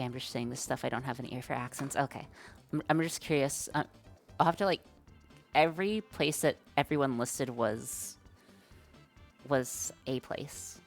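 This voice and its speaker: Very low-voiced woman coding on stream. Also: moderate pace, creaky, long pauses, faint music behind. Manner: thinks aloud while coding, slangy and chatty. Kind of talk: live-stream coding chat.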